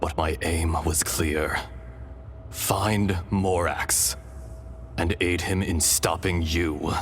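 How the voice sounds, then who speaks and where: A man voicing a calm, wise fragment of an ancient dragon in a human body, solemn and gentle, in a video game. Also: sits low, takes it slowly, slight background noise. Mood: grave. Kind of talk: dramatic video game acting.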